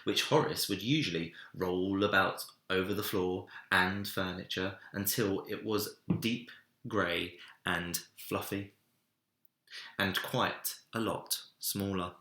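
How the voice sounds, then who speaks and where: A man reading a children's story aloud in an expressive narrating style, recorded in an echoey room. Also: low voice, slow. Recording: roomy, echoey indoor sound.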